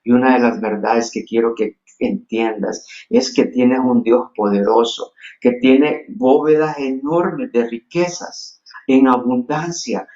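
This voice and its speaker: A man's voice: medium pitch, takes it slowly, darkish tone, muffled recording. A man from Honduras with a Honduran Spanish accent, preaching a sermon earnestly with frequent restarts and fillers. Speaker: pastor.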